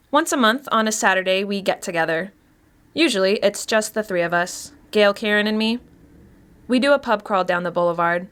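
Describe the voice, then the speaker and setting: A wry woman past thirty played by an actress tells her own story in a conversational, confiding way. Her voice is mid-pitched, and she talks as if admitting something to a listener in an audio short story. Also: fairly bright tone, lightly acted. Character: chatty, wry Los Angeles woman past thirty confessing. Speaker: actor reading a short story. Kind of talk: first-person dramatic monologue, conversational storytelling.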